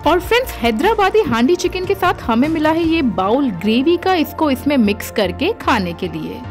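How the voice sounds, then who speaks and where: A woman's voice: sits high, big pitch swings, normal speed. A woman with an Indian accent narrating a food and travel vlog voice-over in a chirpy, viewer-friendly way. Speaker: food and travel vlogger, channel host. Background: background music.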